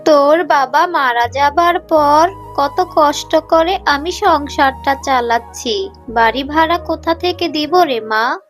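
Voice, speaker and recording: Woman playing weary widowed mother fox, high voice, sorrowful pleading, gentle, cartoon, background music. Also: takes it slowly. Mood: sad, comforting. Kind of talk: children's cartoon story dialogue. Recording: music behind the voice.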